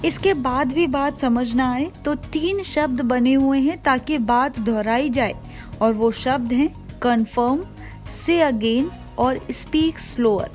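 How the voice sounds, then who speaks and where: Woman video host with a really high voice, scripted explainer read, faint music behind. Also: slow, a little mellow. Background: background music.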